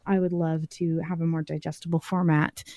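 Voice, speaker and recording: A woman hosts a fan podcast with a relaxed, mellow voice that sits a little low. She sounds encouraging and appreciative. The recording is close and dry. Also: normal speed, dry recording. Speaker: podcast host. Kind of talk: casual fan podcast chat and scripted outro.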